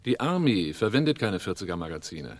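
A man's voice: low voice, big pitch swings, a little fast. An actor playing a blunt, wary male gun dealer with a sardonic manner in a radio drama.

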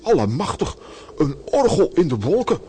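A man playing an excitable, bumbling comic adventurer in an animated, exclaiming way for a children's audio drama. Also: on the high side, normal speed, slight background noise. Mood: surprised.

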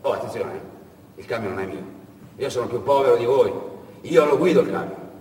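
An actor playing a proud, boastful truck-driving smuggler in a stage monologue, bargaining emphatically. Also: male voice, mid-range voice, expressive, a little fast, darkish tone, lightly acted. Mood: conspiratorial. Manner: emphatic, self-assertive bargaining. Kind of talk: theatrical storytelling monologue. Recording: echoey hall, distant mic, faint noise.

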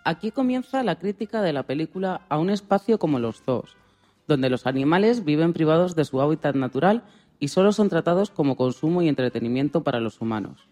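A man's voice: a little high, animated, brisk, dark tone, faint music behind. A man co-presenting a radio programme, narrating from a script.

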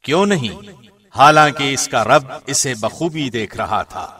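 A man with a mid-range voice reads scripture formally. His phrasing is solemn and measured, like a radio presenter's. He sounds slightly away from the microphone. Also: medium pitch, animated, bright, forceful tone, distant mic. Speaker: translation reader, radio host.

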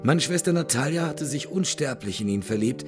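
A man playing a grave, courteous older gentleman haunted by tragedy, speaking formally and with measure in a German audio drama. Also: mid-range voice, lively intonation, a little fast, over music, close to an everyday voice. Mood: grave, bitter.

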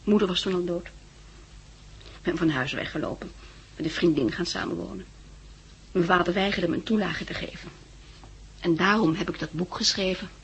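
An actress playing a poised, candid young society woman in a 1960s Dutch radio drama, soft and playful, with a Netherlands accent. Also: fairly low pitch, moderate pace, pauses often, slight background noise, lightly acted, low-quality recording. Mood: reflective, candid. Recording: vintage 1960s radio recording.

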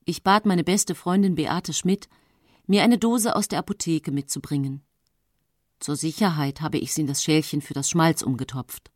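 A woman's voice: low-pitched, normal speed, a little mellow, dry recording, clean studio sound. An actress playing a plotting widow who recounts her deeds with composed gravity in a radio play. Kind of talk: dramatic first-person radio play narration. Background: faint music.

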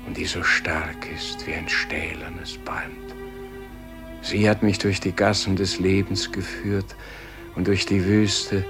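A man's voice: sits low, full character voice. An actor playing a frail, anguished dying holy man in a poetic radio play, speaking in a solemn, declamatory lament.

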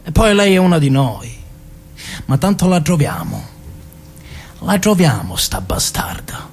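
A man's voice: fairly high pitch, sing-song, measured pace, slight background noise. A man playing a bloodthirsty, crude mafia hitman in a radio drama, with a southern Italian accent and a menacing, swearing manner. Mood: menacing, insistent. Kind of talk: stage-style radio drama.

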